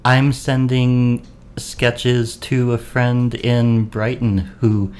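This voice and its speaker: A man from Maine chatting casually as a podcast guest host, unhurried and rambling with fillers. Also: mid-range voice, level tone, a little mellow, slight background noise. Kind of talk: casual chat.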